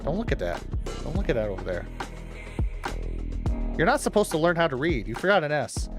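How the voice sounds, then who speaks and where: A man's voice: a little high, sing-song, a little fast, over music. A man livestreamer talking casually to his chat, informal and teasing, with some swearing. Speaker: livestreamer hosting a watch-along.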